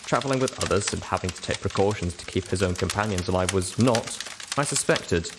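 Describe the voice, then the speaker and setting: A man's voice: low voice, brisk, noisy background. A man reading scripted first-person narration as an audiobook narrator.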